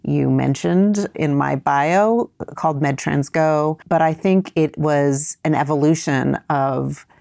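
Middle-aged woman guest, thoughtful and measured, gravelly voice, dry recording. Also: low-pitched, darkish tone, no room sound. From from Vietnam, raised in New York. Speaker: guest entrepreneur. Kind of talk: podcast interview.

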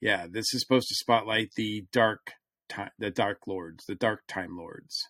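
A man's voice: on the low side, a little slow, sharp tone, no echo, clean studio sound. A man co-hosting a science fiction podcast, talking casually and often searching for his words mid-thought. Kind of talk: casual, fannish panel chat.

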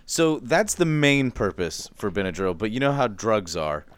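A man's voice: on the low side, big pitch swings, moderate pace, dry recording. A man co-hosting a podcast, explaining his research in an informal, loose, self-deprecating way with mild slang. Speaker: podcast co-host presenting research. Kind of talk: casual conversational explaining.

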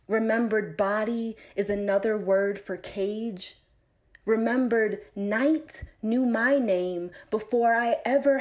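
A woman recites poetry at a very unhurried pace, in a mid-pitched voice. She sets out each phrase deliberately, with pauses between them, as a poet reading her own work.

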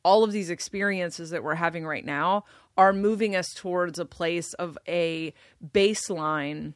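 A woman with a deep, low voice talks things through in relaxed conversation. She pauses and restarts as she thinks aloud. The sound is close and dry, with no room around it. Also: no room sound. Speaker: podcast guest. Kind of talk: casual conversation.

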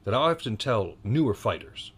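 A man's voice: on the low side, sing-song, moderate pace, fairly bright tone, slightly rough. An American man hosting a solo podcast, talking casually and rambling with self-deprecating asides and frequent restarts. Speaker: podcast host. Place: indoors at home.

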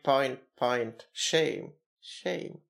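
A woman's voice: extremely low pitch, gravelly. A woman with an Italian accent co-hosting a podcast, teasing and laughing easily. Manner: teasing, quick to laugh, swears casually. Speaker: podcast co-host. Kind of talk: casual podcast banter.

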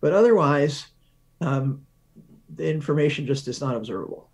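Higher-pitched man explaining science. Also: lively intonation, normal speed, a little mellow. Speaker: guest research physicist.

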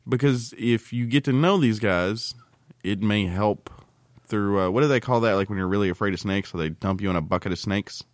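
A man co-hosting a podcast in casual, joking banter, rambling and halting as he explains things. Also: fairly low pitch, dry recording. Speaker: podcast co-host.

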